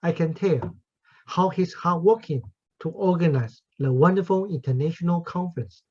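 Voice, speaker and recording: Taiwanese accent guy giving a formal academic talk, slow careful phrasing, kinda husky, dull lo-fi sound. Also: on the high side, a little mellow. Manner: careful, deliberate, with non-native grammar slips. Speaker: invited conference speaker, professor. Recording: muffled, husky lo-fi audio.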